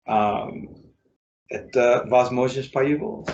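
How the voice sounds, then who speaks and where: An American man, a native English speaker, speaking learner's Russian in a casual interview, halting with many fillers and self-corrections. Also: mid-range voice, darkish tone, echoey room, lo-fi sound. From United States. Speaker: interview guest, English teacher.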